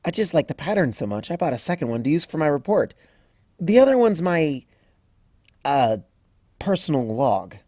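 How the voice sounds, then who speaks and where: A man voicing a frazzled, bookish young female pony scholar who fusses and quickly flies into a panic. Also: big pitch swings, darkish tone, no echo, studio quality. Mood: fussy. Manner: high-strung, rushes and fusses, flies into panic.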